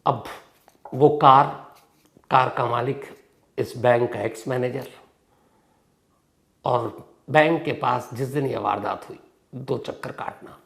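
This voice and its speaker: Male true-crime host, heavy vocal fry, conversational narration, emphatic openings and trailing-off endings. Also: a bit bright. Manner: dramatic pauses and emphatic stresses, sentences trailing off low.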